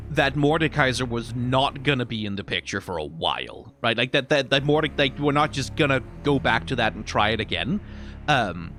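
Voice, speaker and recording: A man's voice: mid-range voice, a bit bright. A man giving casual, off-the-cuff commentary as a streamer, rambling as he thinks aloud and often checking himself with a tag question.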